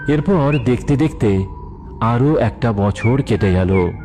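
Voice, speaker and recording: A man's voice: mid-range voice, lively intonation, takes it slowly, dark tone. A man narrating an emotional children's story from a script as the storyteller. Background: faint music.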